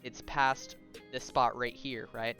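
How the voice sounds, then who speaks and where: A young man with a mid-pitched voice talks through a gaming tutorial in a casual, informal way. He addresses viewers directly and checks in as he explains. Faint music plays behind him. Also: level tone, slow pace, bright, forceful tone. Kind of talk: casual video game tutorial commentary.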